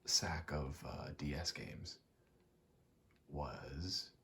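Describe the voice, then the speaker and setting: Very deep, gravelly, soft-spoken man. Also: very slow. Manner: soft-spoken, often dropping into whisper; slangy, profane, meandering. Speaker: ASMR video host. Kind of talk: ASMR ramble, casual storytelling.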